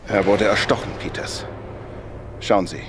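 Actor as respectful policeman, lowish voice, noisy recording. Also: measured pace, close to an everyday voice. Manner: dutiful, respectful. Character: dutiful, respectful police inspector. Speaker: police officer. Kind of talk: radio play acting. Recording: noisy radio play recording.

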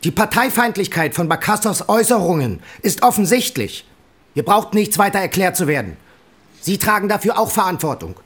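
An actor playing a stern, authoritarian party secretary who chairs a tribunal in a curt, formal way, in a radio drama. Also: male voice, high voice, big pitch swings, fairly quick, fairly bright tone, exaggerated voice. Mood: curt, reproving. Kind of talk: German radio drama acting.

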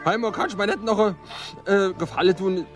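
A man's voice: high-pitched, moderate pace, over music, lightly acted. A man, playing a hesitant, glum man in a radio play. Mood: earnest. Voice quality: hesitant, mumbling. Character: gloomy middle-aged man named Ernst, sullen. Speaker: radio drama character.

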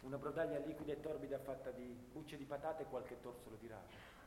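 A male actor plays a young soldier of the First World War, haunted and anguished. The voice rings with long reverb in a roomy space, over clear noise. He tells his story in a dramatic radio-theatre monologue, moving between hushed bitterness and intensity. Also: medium pitch, brisk, lightly acted. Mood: weary, pitying.

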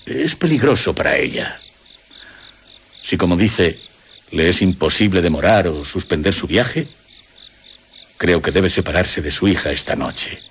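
A man's voice: deep voice, lively intonation, unhurried, long pauses, a little hiss or hum. An actor playing a middle-aged, courtly aristocratic father in a Castilian Spanish radio drama, with formal, gallant diction. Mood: courteous concern. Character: courtly, kindly aristocratic father of a young woman.

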